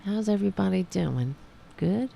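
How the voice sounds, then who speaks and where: A woman hosting a political talk livestream, hoarse after a long show, rambling and sarcastic as she talks to her chat. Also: medium pitch, lively intonation, moderate pace, mellow, slight background noise. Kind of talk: casual livestream commentary.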